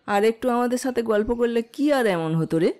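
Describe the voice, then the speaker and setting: A woman playing a good-humoured, gently persuasive middle-aged aunt in an audio story. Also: fairly high pitch, animated, normal speed, close to an everyday voice. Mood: playful.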